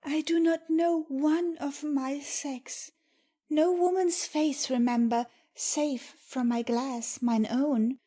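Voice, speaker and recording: A woman plays a tender young maiden in a Shakespeare audiobook. Her voice is high and soft-edged, mellow in tone, and she takes the verse slowly and earnestly, with innocent devotion. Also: steady tone. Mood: shy, earnest. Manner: slow, earnest delivery of verse. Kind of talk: dramatic Shakespeare audiobook reading.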